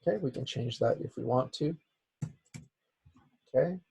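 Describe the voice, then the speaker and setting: Man, hoarse and raspy, gravelly voice, mellow tone, software instructor, says okay a lot. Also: mid-range voice, animated, moderate pace, lo-fi sound. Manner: repeats "okay" as a filler. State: hoarse, losing his voice. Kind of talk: software tutorial lecture.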